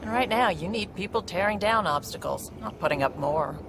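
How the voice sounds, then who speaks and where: A woman playing a brisk, confident, streetwise alien ship provisioner in a video game. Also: mid-range voice, lively intonation, noisy background, natural voice. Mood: proud, businesslike. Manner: brisk, matter-of-fact, streetwise. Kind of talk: video game cutscene acting.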